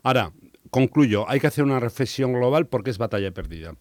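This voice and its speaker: A man's voice: medium pitch, lively intonation, quick pace, a little mellow, dry recording, studio quality. A man commenting in a radio debate, opinionated and often correcting himself mid-sentence. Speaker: panelist.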